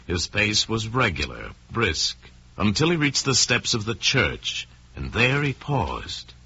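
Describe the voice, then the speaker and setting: A man narrating a crime story in old-time radio style, deliberate and suspenseful, with dramatic pauses. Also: fairly low pitch, very animated, pushed and bright, husky. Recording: dull, lo-fi old radio recording.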